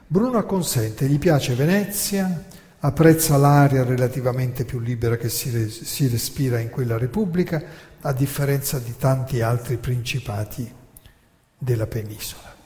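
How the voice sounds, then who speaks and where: Mellow male narrator in a reverberant hall. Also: mid-range voice, normal speed. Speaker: narrator of a theatrical monologue. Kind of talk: theatrical scripted narration with dramatic recited quotations.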